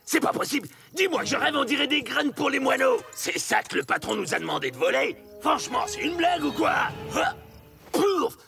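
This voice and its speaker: A whiny, dim cartoon bandit with a very high voice. He grumbles in theatrical disbelief, swinging up and down with a gravelly edge, over music. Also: sing-song, normal speed, heavily acted. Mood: incredulous, grumbling. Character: excitable dim-witted bandit henchman, whiny and blame-shifting. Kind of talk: animated cartoon dubbing. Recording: music behind the voice.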